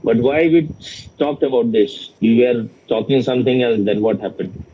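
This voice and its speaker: A man giving an informal spiritual question-and-answer talk as a teacher, speaking in a halting, repetitive and bluntly opinionated way. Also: medium pitch, a little hiss or hum. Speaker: spiritual teacher in dialogue with a student. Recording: dull, lo-fi sound.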